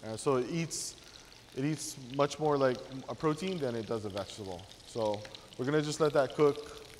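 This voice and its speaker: A man's voice: mid-range voice, moderate pace, long reverb tail, slight background noise. A man in his thirties from Hawaii, a guest chef demonstrating a dish to a class, talking casually with many fillers and restarts. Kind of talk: cooking demonstration talk. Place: large teaching kitchen.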